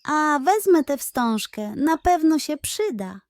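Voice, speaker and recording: Woman doing an eager little girl, high-pitched. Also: very animated, takes it slowly, darkish tone, no room sound, exaggerated voice. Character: eager, brave young girl adventurer, sweet and wide-eyed. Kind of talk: children's storybook character voice.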